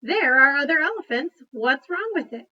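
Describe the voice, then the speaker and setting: A woman's voice: sits high, animated, moderate pace, muffled recording. A woman voicing a blunt, grumbling male dog in a read-aloud storybook, complaining with emphasis. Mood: exasperated.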